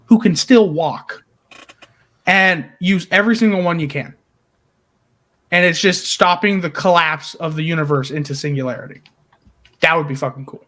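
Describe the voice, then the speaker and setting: A man co-hosting a podcast, excitedly pitching story ideas in casual, emphatic talk peppered with swearing. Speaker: podcast co-host.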